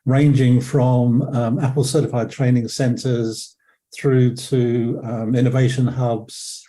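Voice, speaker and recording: Croaky older English man, very dark voice. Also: mid-range voice, low-quality recording. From England. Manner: rambling, many ums and self-corrections. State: croaky from a cold. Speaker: webinar presenter. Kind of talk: relaxed webinar talk.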